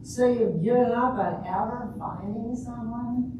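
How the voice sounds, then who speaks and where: A woman's voice: fairly high pitch, a little slow, lo-fi sound, lightly acted. An older woman playing a wistful, hopeful Juliet in an amateur stage reading, speaking earnestly. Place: echoey room at a retirement community event. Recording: very echoey room, distant mic, hissy noise.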